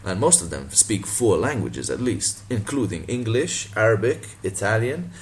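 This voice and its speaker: A man from Malta, a native Maltese speaker, with a deep voice, talking casually and sardonically in a response video, laughing and swearing as he goes. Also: big pitch swings, moderate pace, slight background noise, low-quality recording. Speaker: boxing channel vlogger.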